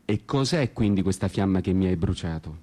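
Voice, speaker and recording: Deep, mellow man narrating dramatically. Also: lively intonation, brisk. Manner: ironic, brooding, theatrical. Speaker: storytelling narrator in a radio theatre piece. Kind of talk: dramatic literary monologue over music. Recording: guitar music behind the voice. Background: guitar music.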